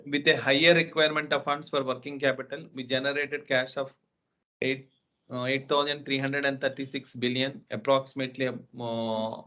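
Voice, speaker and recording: A man giving a formal, scripted financial presentation as a company executive, sometimes halting over the figures. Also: mid-range voice. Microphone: conference call line. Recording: dull, lo-fi conference call audio.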